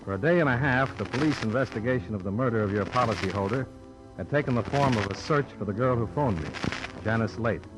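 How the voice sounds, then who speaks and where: A man's voice: mid-range voice, quick pace, music in the background, close to an everyday voice, low-quality recording. A man playing a tough, wry American insurance investigator in a 1950s radio detective drama, acting and narrating. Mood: dry, wry.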